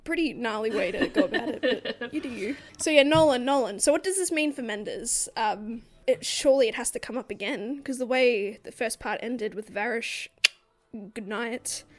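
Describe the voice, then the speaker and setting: A young woman co-hosting a book podcast, chatting casually and swearing freely in quick joking banter. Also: on the high side. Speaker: podcast co-host.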